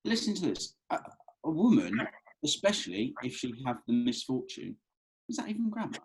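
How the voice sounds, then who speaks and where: A man's voice: fairly high pitch, sing-song, moderate pace, creaky, breathy edge, heavily acted, poor audio. An actor playing a cheeky, bumbling young British man, colloquial and hesitant, in a read-through of a comedy script. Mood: amused. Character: easygoing, cheeky, bumbling young bloke at festival.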